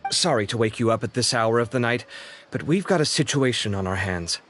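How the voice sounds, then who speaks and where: Animated, expressive young man by a male actor. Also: medium pitch, a little fast, a little hiss or hum, lightly acted. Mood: apologetic, urgent. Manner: expressive, conversational, easily startled. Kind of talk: anime video game voice acting. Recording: long reverb, music behind.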